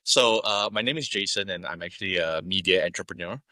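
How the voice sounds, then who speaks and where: Very deep-voiced Singaporean man. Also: a little fast, pushed and bright, no echo. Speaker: podcast guest, media entrepreneur. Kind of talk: conversational interview.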